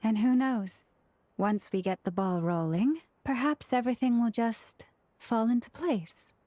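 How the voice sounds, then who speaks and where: An actress playing a calm, gracious young woman in a video game, speaking with measured, polite formality. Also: fairly high pitch, no echo, lightly acted. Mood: calm, thoughtful. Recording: music behind the voice.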